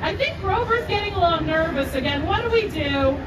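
A young woman hosting a live children's story time, projecting to a crowd and coaxing them along in an animated way. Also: very high voice, fairly quick. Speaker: theme park story time host. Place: outdoor theme park stage. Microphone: audience phone camera from several meters. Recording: distant phone recording, noisy outdoor crowd, echoey.

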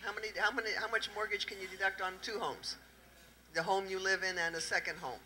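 A middle-aged woman with a Southern accent talks taxes to a live audience, her voice very bright and sharp. She keeps asking the room questions and plays off the answers. She holds a handheld microphone in an indoor event room. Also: mid-range voice, quick pace, poor audio. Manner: lively, quizzing the audience with questions. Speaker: tax accountant presenting at a panel. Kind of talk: interactive talk to a live audience.

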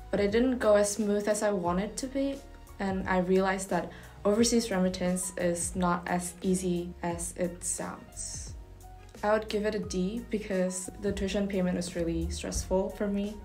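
Soft-spoken young woman, calm. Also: medium pitch. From Indonesia. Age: young adult. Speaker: university student. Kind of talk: interview to camera. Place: indoor university building lobby. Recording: faint music under the voice.

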